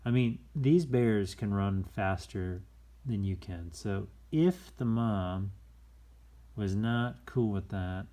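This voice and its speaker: A man hosting a children's story time, reading aloud in a playful, expressive way with chatty, folksy asides and shifts between hushed and exclaimed delivery. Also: lowish voice, slow. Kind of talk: animated read-aloud with casual asides to young viewers.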